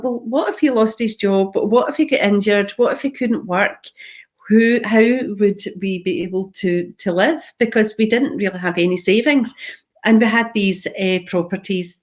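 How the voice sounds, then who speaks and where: A middle-aged woman with a Glasgow Scottish accent, telling her own story in a chatty live talk, going off on tangents and asking viewers questions directly. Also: mid-range voice. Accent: Glaswegian Scottish. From Scotland, Glasgow. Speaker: public speaker.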